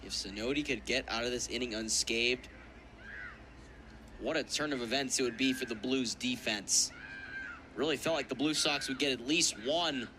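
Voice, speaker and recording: Male color commentator with middling pitch. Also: moderate pace, background noise. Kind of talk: live baseball analysis.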